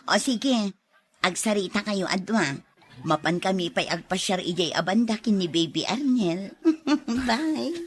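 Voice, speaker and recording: A woman's voice: mid-range voice, lively intonation, measured pace, breathy edge. A woman playing a kindly, reassuring go-between in an Ilocano radio drama, speaking in a friendly manner. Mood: cheerful.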